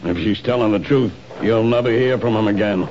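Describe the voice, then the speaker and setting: Skeptical cop with a dry drawl, played by an actor, vintage radio play, muffled with loud hiss. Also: male voice, lowish voice, fairly quick, natural voice. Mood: dismissive. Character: skeptical, wry middle-aged police lieutenant. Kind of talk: 1970s radio drama acting. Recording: dull old radio broadcast with loud hiss.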